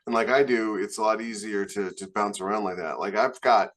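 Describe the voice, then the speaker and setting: A man speaking casually as a podcast co-host and author, telling things in a halting, animated way. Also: fairly low pitch. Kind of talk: casual panel chat.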